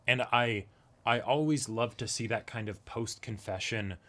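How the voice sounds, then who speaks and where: A man's voice: mid-range voice, unhurried, pushed and bright. A man co-hosting a reaction show, chatting casually, often hedging and checking for agreement as he talks. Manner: conversational, hedging with "like" and "you know", seeking agreement with "right?". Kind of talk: casual discussion of an anime episode.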